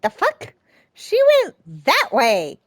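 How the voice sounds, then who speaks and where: Woman, game streamer, highish voice, unhurried, playful muttering, dry sound. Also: huge pitch swings, a bit bright, no echo. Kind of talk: casual playthrough commentary, reading her character's replies aloud.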